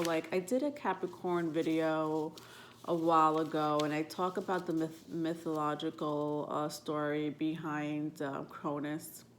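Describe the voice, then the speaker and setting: Stuffed-up woman vlogger with a low voice, loose and rambling delivery, filler-heavy, slight room hiss. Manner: rambling, frequent ums and self-corrections. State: stuffed up nose. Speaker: tarot and astrology vlogger. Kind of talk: casual talk to camera.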